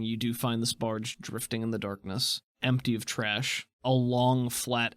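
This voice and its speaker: A man's voice: mid-range voice, fairly bright tone, dry recording. A man narrating a tabletop roleplaying game as game master, mixing descriptive scene-setting with casual asides. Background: background music.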